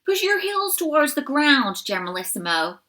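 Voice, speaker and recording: A woman's voice: sits high, very animated, normal speed, bright, forceful tone, voice pushed up, exaggerated voice. A woman voicing a brash, straight-talking female rabbit trainer with an American drawl, bossing her elderly leader around. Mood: bossy. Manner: drawling, bossy.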